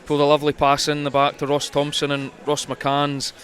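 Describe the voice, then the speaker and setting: A man's voice: on the high side, completely flat delivery, a little fast, a bit bright. A man working as a rugby co-commentator, giving conversational, explanatory analysis in loosely built phrases. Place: commentary position at a rugby ground.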